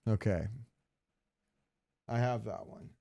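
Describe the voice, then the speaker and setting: A man chatting informally as a podcast co-host about gaming, often thinking out loud as he goes. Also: fairly low pitch, takes it slowly, gravelly. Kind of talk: casual gamer chat.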